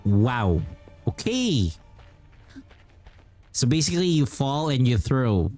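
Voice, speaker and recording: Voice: mid-range voice, big pitch swings, slow pace, a little mellow. A game streamer giving casual live gameplay commentary in short, muttered reactions. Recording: voice over game music. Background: game music.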